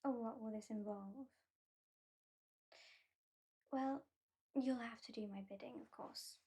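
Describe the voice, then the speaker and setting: Woman playing a haughty, breathy British vampire lady. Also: a little high, full character voice. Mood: teasing, haughty. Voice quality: soft and breathy. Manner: slow, teasing, condescending, often drops to a whisper. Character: haughty female vampire who claims the listener as a pet. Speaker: ASMR roleplay performer. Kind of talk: ASMR roleplay.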